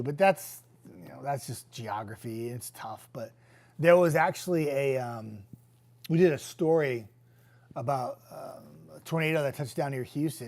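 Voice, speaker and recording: A young man, a TV weatherman who says he is getting sick and losing his voice, chatting casually with viewers and reading their comments. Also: medium pitch. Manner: chatty, easygoing, trailing off into quiet asides. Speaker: TV meteorologist hosting a viewer chat.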